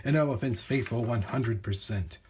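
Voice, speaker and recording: A man's voice: mid-range voice, normal speed, a little mellow, slight background noise, close to an everyday voice. A man voicing a faithful, stubborn, long-suffering storybook elephant, declaiming emphatically in rhyme. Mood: steadfast.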